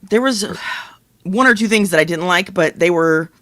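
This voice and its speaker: A man's voice: high-pitched, normal speed, sharp tone, dry recording, clean studio sound. A middle-aged man co-hosting a podcast in casual, conversational chat. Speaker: podcast co-host.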